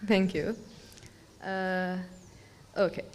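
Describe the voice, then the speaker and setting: A woman's voice: mid-range voice, steady tone, drawn-out words, clear, resonant tone. Polite woman moderator in a hall. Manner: polite, brief. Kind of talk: moderating a panel. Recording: long hall reverb.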